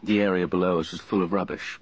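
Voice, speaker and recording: A man's voice: low-pitched, fairly even intonation, fast talker, lo-fi sound, a little hiss or hum, lightly acted. An actor playing an infatuated, uneasy young British man in a radio drama, speaking hesitantly and introspectively. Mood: brooding, reflective. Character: earnest, infatuated, uneasy young man telling his story. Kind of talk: radio drama acting with confessional first-person narration.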